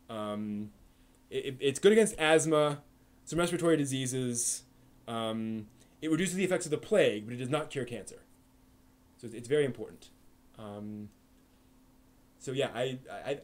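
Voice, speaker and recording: Male stream host, casual off-the-cuff chat, full of ums and self-corrections, mid-range voice. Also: mid-range voice. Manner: rambling, frequent fillers and restarts. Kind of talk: casual live-stream chat answering viewer questions.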